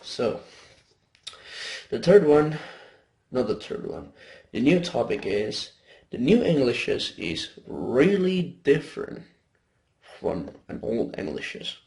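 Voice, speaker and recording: Gravelly-voiced young Indonesian man explaining. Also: medium pitch, sing-song, takes it slowly, pauses often, a little mellow. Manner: loose, rambling explanation with fillers and self-corrections. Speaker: student presenter. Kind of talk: informal class presentation.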